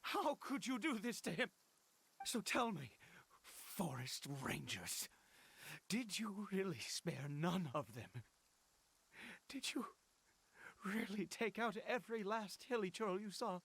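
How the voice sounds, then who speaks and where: An actor playing a distraught, obsessive middle-aged father in a video game, with halting, stammering, theatrical delivery. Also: sits high, sing-song, creaky, fairly bright tone, slight background noise, exaggerated voice. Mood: anguished, accusing. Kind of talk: dramatic game voice acting.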